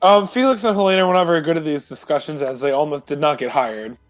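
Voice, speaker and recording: A man informally recapping a previous tabletop roleplay session as a player, in a halting, self-correcting way. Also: fairly high pitch, dry recording. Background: music.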